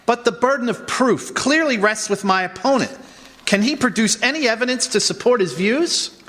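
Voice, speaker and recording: Man as a very high, indignant prosecutor in a hall. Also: expressive, moderate pace. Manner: forceful, indignant, formal courtroom delivery. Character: indignant, pompous prosecuting district attorney, a father. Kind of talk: old-fashioned radio drama acting. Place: live performance on a college campus. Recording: reverberant hall stage recording.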